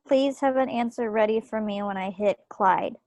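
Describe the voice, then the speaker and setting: A woman's voice: mid-range voice, normal speed, darkish tone, no room sound, natural voice. A woman playing an earnest, impatient young bride-to-be in an amateur play read over a video call. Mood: coy, pressing.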